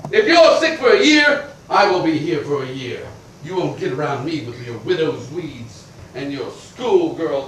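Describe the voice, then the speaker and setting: An actor playing an irascible middle-aged landowner who rants and blusters, swinging between shouting and grumbling. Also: male voice, high voice, very echoey, far from the mic, slight background noise, rough recording. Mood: defiant. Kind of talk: theatrical stage acting.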